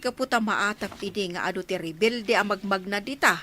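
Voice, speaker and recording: A woman's voice: mid-range voice, moderate pace, natural voice. A woman playing an inquisitive, reflective older woman with an Ilocano accent in a radio drama.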